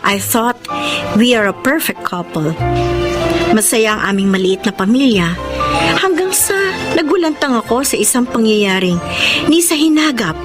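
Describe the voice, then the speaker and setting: Actress as lively Filipino wife, higher-pitched. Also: female voice, moderate pace, long pauses, loud music behind the voice, natural voice. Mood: wistful. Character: devoted, trusting young wife narrating her marriage story. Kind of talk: Filipino radio drama acting and narration.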